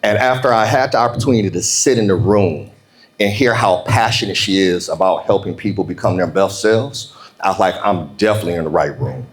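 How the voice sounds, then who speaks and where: A man's voice: sits low, a little fast. American man giving a conversational motivational speech. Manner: conversational, informal, addresses listeners directly. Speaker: keynote speaker, entrepreneur coach. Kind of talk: motivational keynote speech to a live audience.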